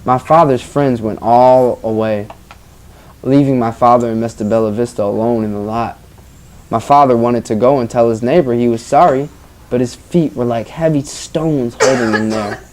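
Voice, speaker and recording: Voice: medium pitch, normal speed, darkish tone, slight background noise. A teacher reading a story aloud expressively to children, stopping to ask them questions in an informal, engaging way. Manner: engaging, informal, addresses listeners as guys. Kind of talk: expressive read-aloud with questions to young listeners.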